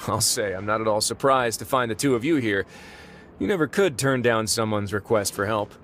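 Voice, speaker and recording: An actor playing a calm, confident male prison warden who talks in a relaxed, dry, wry way in a video game. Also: lowish voice, a little fast, slight background noise.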